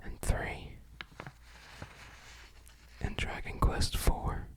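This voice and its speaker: A person whispering in a casual ASMR style, musing aloud softly in a breathy, unvoiced voice. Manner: soft, unhurried, musing aloud. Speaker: ASMR video creator. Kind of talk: whispered ASMR, casual unboxing and game commentary.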